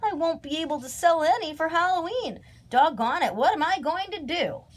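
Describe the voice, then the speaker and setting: A woman's voice: high-pitched, unhurried, a bit bright, slight background noise, pitched above the performer's own voice. A woman voicing a stubborn, indignant young boy scarecrow in a children's storybook, folksy and emphatic. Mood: wailing dismay.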